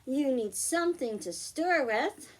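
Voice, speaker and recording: Lady with a highish voice showing little kids a science project, patient, step by step. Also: animated, a little slow. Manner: instructional, patient step-by-step, simple repeated phrasing. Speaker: children's librarian, storytime host. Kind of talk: simple hands-on science demonstration for young children.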